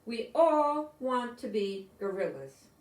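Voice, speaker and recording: Woman acting friendly schoolchild, eager and earnest, storybook dialogue, measured pace, echoey room, distant mic. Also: a little high, big pitch swings, a little mellow. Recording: roomy echo, distant microphone.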